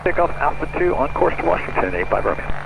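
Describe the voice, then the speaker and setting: A man's voice: on the high side, sing-song, quick pace, gravelly. A man speaking as a pilot over aviation radio, with terse requests and readbacks. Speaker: pilot on air traffic radio. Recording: very noisy, distant-sounding cockpit radio transmission.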